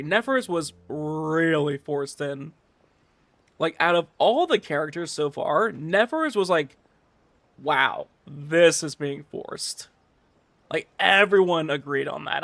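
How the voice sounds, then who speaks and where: A man's voice: on the high side, expressive, a little slow, creaky, a bit bright, no echo. A man streaming a video game, chatting casually and loosely with his viewers in a rambling, repetitive way. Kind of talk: casual livestream chat. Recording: game music in the background.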